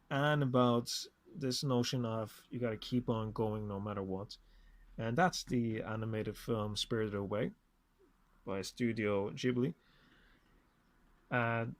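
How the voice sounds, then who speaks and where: A man's voice: mid-range voice, no echo. A man hosting a podcast, talking casually as he gives recommendations and introductions. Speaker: podcast host.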